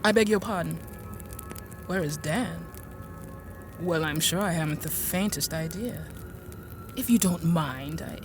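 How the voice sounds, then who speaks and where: An actress playing a prim, genteel woman in an audio drama, confiding her story in a hesitant, rambling, over-polite way. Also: fairly low pitch. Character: prim, fussy, genteel woman recounting a dark tale. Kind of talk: audio drama acting, confiding first-person narration.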